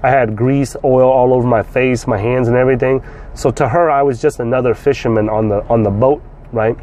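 A man's voice: mid-range voice, a little fast. A man from South Vietnam in his forties, talking bluntly to camera from a parked car, swearing freely and often repeating words as he restarts. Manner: blunt, swears freely, animated hand gestures. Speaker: vlogger and trader. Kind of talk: casual talk to camera. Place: inside a parked car, outdoors. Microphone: phone or camera at arm's length with a lapel mic. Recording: faint car and street noise.

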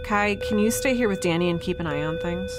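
An actress playing a wry young scientist on a space station, casual, breezy and sarcastic, in a science fiction audio drama. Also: female voice, fairly low pitch, fairly quick, over loud music, close to an everyday voice. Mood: brisk, wry.

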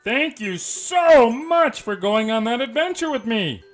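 A woman's voice: a little high, animated, measured pace, faint music behind. A woman voicing a friendly, silly little cartoon beaver who hosts a children's story channel. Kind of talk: children's channel intro and sign-off.

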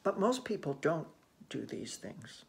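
An older person reading a picture book aloud to children, gently and unhurriedly, with musing asides. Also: mid-range voice, sing-song, gravelly, a little hiss or hum. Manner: gentle, unhurried, musing with soft hmms and ohs. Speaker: children's read-aloud host. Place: small room indoors at home.

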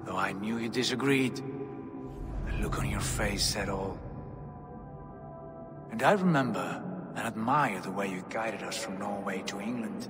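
An actor playing a brooding Viking jarl, speaking in grave, measured tones. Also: male voice, on the low side, natural voice. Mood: solemn. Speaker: Viking leader. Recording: reverberant game mix with music.

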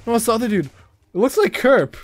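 An excitable man on a voice call, shouting and laughing as he plays a game with friends. His voice jumps all over the place, bursting into yells during fights. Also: very high-pitched, fast, a crowd in the background. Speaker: gaming channel host. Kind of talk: excited gaming chat with friends.

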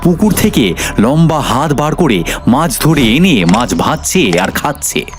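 Male cartoon storyteller, lively delivery. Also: mid-range voice, measured pace, music in the background. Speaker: narrator. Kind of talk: dramatic cartoon storytelling narration.

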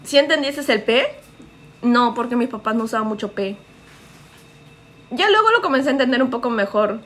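A woman's voice: a little high, lively intonation, moderate pace, a bit bright. A young woman from Japan chatting casually on a live stream in a small living room, laughing often and reading out viewer comments. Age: young adult.

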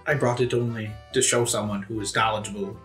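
A man's voice: fairly low pitch, steady tone, a little fast, fairly bright tone. A man role-playing casually as a player in a tabletop game podcast. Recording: echoey room, music behind.

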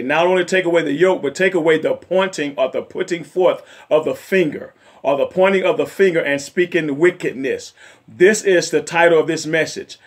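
A middle-aged male evangelist with a raspy voice, preaching fervently from a chair in his living room and sprinkling in amens and hallelujahs. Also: a little high. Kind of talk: home sermon and Bible study. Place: home living room, seated in a leather chair.

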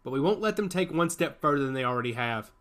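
Guy reading as a gruff fighter dude, resolute, bright tone. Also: on the high side, animated, fast. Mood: defiant. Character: hoarse gruff male fighter.

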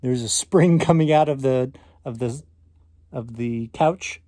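An American man in his thirties teaches through a read-aloud, pausing to comment and ask questions. He speaks expressively, stretching key words, at an ordinary male pitch. The sound is close and dry, with little room echo. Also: warm tone. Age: 30s. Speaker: teacher reading a picture book aloud. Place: small indoor room at home.

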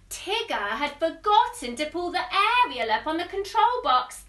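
A young woman reading a children's storybook aloud, expressive and sing-song, with asides to young listeners. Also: very high-pitched, measured pace. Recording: roomy echo, distant microphone.

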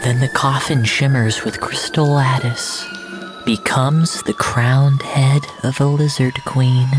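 A man acting as the solemn first-person narrator of surreal fiction, with a deliberate, literary delivery. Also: mid-range voice, fairly even intonation, music in the background, close to an everyday voice. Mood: solemn, intense.

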